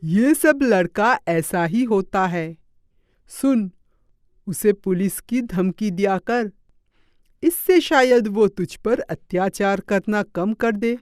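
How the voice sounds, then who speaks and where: A woman playing a consoling, world-weary middle-aged neighbour aunt in an animated moral story, motherly and advising. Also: mid-range voice, slow pace, no room sound, clean studio sound, natural voice.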